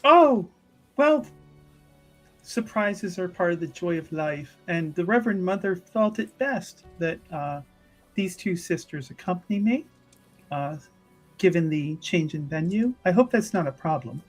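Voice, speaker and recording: A woman's voice: on the low side, lively intonation, a little slow, soft background music, rough recording. A woman playing an effusive, pious church sister in a tabletop game, gushing theatrically over small luxuries. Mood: polite, cheerful.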